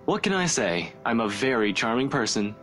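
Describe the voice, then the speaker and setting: A man's voice: medium pitch, big pitch swings, moderate pace, fairly bright tone, faint music behind. A man playing a cocky, defensive young man in a visual novel game, speaking in a wry, casual way. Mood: cocky, joking. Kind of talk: voice-acted visual novel dialogue. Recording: clear background noise.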